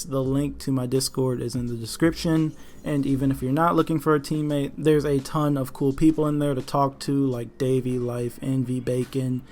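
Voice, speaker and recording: A man's voice: medium pitch, a little mellow. A man delivering scripted gaming news commentary in a casual, opinionated way, talking straight to viewers. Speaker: video game news YouTuber. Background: background music.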